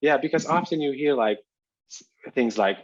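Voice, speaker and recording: A man from Finland, his Albanian, speaking as an author guest in a thoughtful, halting interview conversation over a video call. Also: medium pitch, dull audio, over a noisy crowd. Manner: halting, reflective, searching for words.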